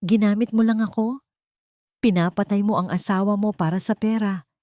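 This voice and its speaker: A woman's voice: medium pitch, steady tone, moderate pace, dark tone, no room sound, clean studio sound, pitched above the performer's own voice, natural voice. A woman voicing a jealous, betrayed, vengeful mistress in an emotional, accusing way for an audio story. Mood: hurt fury.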